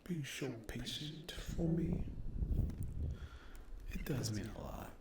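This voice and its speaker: A man speaking softly to viewers in an ASMR style, hesitant and halting, often slipping into a whisper. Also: fairly high pitch, animated, vocal fry. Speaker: ASMR creator addressing viewers. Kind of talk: soft-spoken ASMR update. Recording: echoey room with loud noise, clicks.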